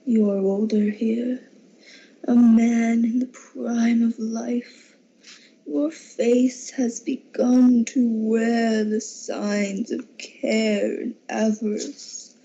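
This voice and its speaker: Very slow, solemn, husky spirit, acted by a woman. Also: on the high side, level tone, warm tone, heavily acted. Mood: solemn, reproachful. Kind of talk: amateur radio drama acting. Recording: dull lo-fi amateur recording.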